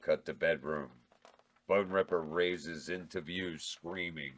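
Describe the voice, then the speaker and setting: A man's voice: sits low, steady tone, takes it slowly. A man reading a comedy horror script's stage directions aloud in a matter-of-fact tone at a table read.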